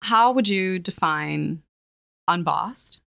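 Podcast host woman, lower voice, lively and gushing, dry recording. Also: creaky, no room sound. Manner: animated, effusive, quick to laugh. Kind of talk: casual interview conversation.

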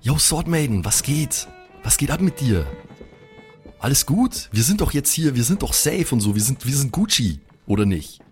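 Man as laid-back young guy, rambling, hesitant, English slang, mid pitch, music behind. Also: very animated, normal speed, lightly acted. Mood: concerned, chummy.

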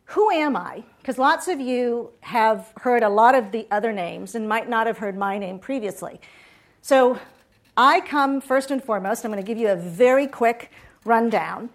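A woman's voice: fairly high pitch, animated, normal speed. A middle-aged woman from Puerto Rico giving a chatty guest talk to students, often checking in with the audience. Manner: chatty, asks audience questions. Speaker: guest speaker. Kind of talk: lively campus talk.

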